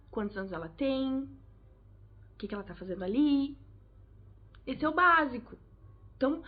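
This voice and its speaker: A woman from Brazil with a Brazilian Portuguese accent, giving chatty, direct advice to her viewers in a casual vlog. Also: on the high side. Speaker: vlogger, English teacher. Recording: tinny phone-like sound.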